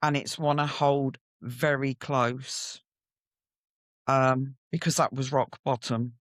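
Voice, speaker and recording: Middle-aged woman, podcast guest, reflective and candid, very measured pace, intimate dry recording. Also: rumbling low, fairly even intonation, no room sound. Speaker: podcast guest sharing her story. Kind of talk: personal storytelling in interview.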